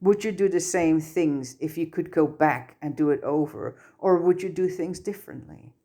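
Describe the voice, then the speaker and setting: A gentle, patient wizard schoolmaster in a storybook reading, voiced by a woman. He is a grown man who guides kindly and holds firm. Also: deep voice, moderate pace. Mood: gentle, sympathetic. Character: kindly, firm adult male wizard teacher and mentor.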